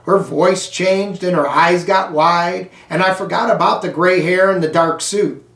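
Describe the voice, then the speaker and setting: An American man reads a children's storybook aloud, phrase by deliberate phrase. His voice sits a little high for a man and moves very slowly. He sounds some way from the microphone in an echoey room. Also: fairly even intonation, a bit bright, far from the mic. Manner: slow, deliberate, phrase-by-phrase delivery. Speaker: school superintendent reading aloud.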